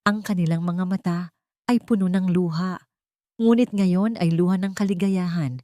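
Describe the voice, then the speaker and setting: A woman narrates a romantic drama as a scripted story. Her voice sits a little low, solemn and dramatic, recorded close and dry. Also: normal speed, warm tone, no room sound.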